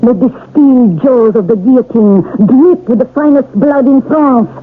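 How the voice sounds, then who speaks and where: A very high-pitched vengeful woman rants like a zealot from the French Revolution, theatrical and bloodthirsty. It comes from a narrowband old radio drama recording with faint noise. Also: a little slow. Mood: frenzied, bitter. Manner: theatrical, declamatory. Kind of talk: 1970s radio drama acting.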